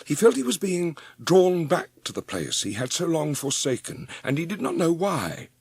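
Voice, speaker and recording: A man giving an expressive scripted narration of a storybook. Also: a little high, normal speed, vocal fry, breathy edge, no echo.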